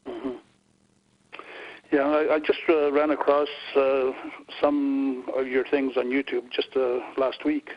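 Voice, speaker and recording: A man's voice: mid-range voice, flat delivery, slow pace, dull audio. An Italian-born man calling into a radio show, asking hesitant questions full of fillers and stammers. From Italy. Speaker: radio caller. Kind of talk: casual phone-in questions.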